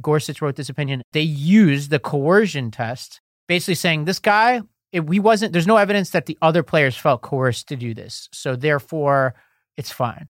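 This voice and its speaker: A man's voice: a little high, fairly bright tone, no room sound, studio quality. A man co-hosting a podcast, explaining court rulings in casual talk with many "like"s and restarts. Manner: explanatory, filler-heavy, restarts. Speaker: podcast co-host. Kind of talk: casual legal news discussion.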